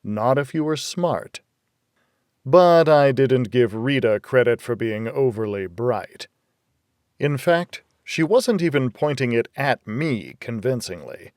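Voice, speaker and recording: A man's voice: medium pitch, expressive, slow pace, darkish tone, no echo, studio quality. A man narrating a first-person noir thriller audiobook in a wry, hardboiled storytelling manner.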